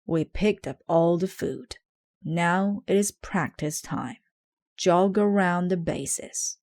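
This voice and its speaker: A woman's voice: lowish voice, takes it slowly, darkish tone. A woman voicing a bossy, eager young male mouse who captains a baseball team in a storybook, exclaiming his orders. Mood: bossy, rallying. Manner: commanding, exclaiming.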